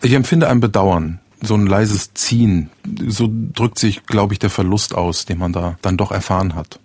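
Man, 40s, lowish voice, calm and considered, dry recording. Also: moderate pace, breathy edge, no room sound. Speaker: journalist and writer interviewee.

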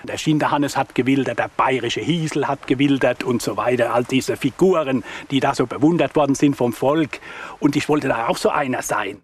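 A man in his sixties from the Palatinate, giving reflective interview testimony in a halting, earnest way. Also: mid-range voice, slightly rough. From Palatinate, Germany. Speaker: interviewee, former gang leader.

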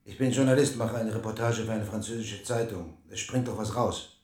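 An actor playing a low-voiced coaxing journalist. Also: male voice, brisk, a little mellow, roomy sound, natural voice. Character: male journalist, quiet and persuasive.

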